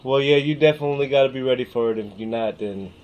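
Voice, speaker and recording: A woman's voice: extremely low pitch, fast talker, lo-fi sound. A woman in her thirties hosting a podcast in casual chat, brash and joking with lots of swearing. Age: 30s. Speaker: podcast host.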